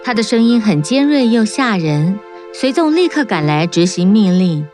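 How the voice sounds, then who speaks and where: A woman narrates a children's fairy tale in a clear, even storybook manner. Her voice sits at a middle pitch. Music plays clearly beneath her. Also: normal speed. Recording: narration over prominent music.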